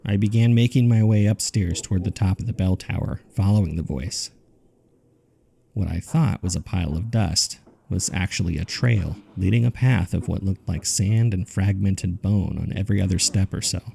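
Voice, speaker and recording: A low-voiced man with a heavy gravelly creak tells his horror story as a haunted survivor. He speaks gravely and deliberately, in a dark, subdued tone, like a scripted audio drama narrator. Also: a little hiss or hum. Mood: shaken, haunted. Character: haunted man recounting a terrifying ordeal.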